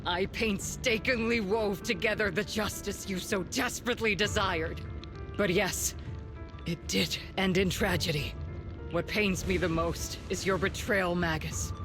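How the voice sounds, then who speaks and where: Cold villain colonel voiced by a woman, mid-pitched, measured and accusing, with music behind her. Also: natural voice. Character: cold scheming female colonel, commanding villain. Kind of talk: video game cutscene dialogue.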